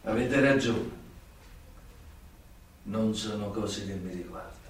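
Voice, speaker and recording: An actor playing a worldly, sly old mafia turncoat in a radio drama, with a southern Italian accent and weighty short phrases between long pauses. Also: male voice, lowish voice, a little hiss or hum. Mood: deferential. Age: older. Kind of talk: theatrical radio drama acting. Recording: very echoey stage, distant microphone.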